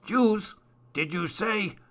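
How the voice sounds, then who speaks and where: A man voicing a lively, cheeky young male woodland character in a storybook, eager and exclaiming. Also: a little high, slow, pitched above the performer's own voice, full character voice. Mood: eager delight.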